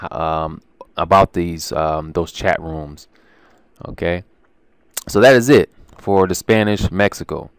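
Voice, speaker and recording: A man's voice: deep voice, clean tone, dry recording. A man casually walking viewers through his language course materials, informal and chatty with lots of ums and restarts. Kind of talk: casual screen-share walkthrough.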